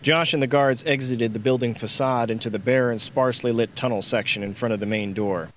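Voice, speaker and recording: A man's voice: mid-range voice, a little fast, slight background noise. A man narrating a novel as an audiobook, reading scripted fiction on a close microphone.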